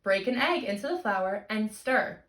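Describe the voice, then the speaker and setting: Woman reading as a busy storybook mother, bright voice. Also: medium pitch, lively intonation, measured pace, reverberant. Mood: practical. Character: busy, practical storybook mother giving instructions.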